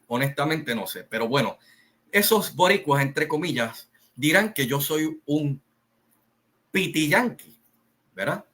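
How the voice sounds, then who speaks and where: A middle-aged man from Rico, giving a slow, opinionated monologue full of pauses and fillers. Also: medium pitch, pushed and bright. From Puerto Rico. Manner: slow, deliberate, repetitive, with many pauses and fillers. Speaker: commentary channel host.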